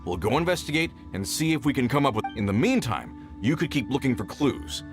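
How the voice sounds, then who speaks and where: A man acts a dutiful search party leader in a video game. He sounds brisk and earnest, with a medium voice that rises and falls expressively. Music plays faintly behind. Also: mid-range voice, big pitch swings, close to an everyday voice. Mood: resolute. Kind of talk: English video game voice acting.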